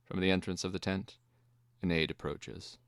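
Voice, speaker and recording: A man narrating a screenplay as a scripted dramatic reading, in a measured way. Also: low-pitched, steady tone, clear, resonant tone, no room sound, clean studio sound.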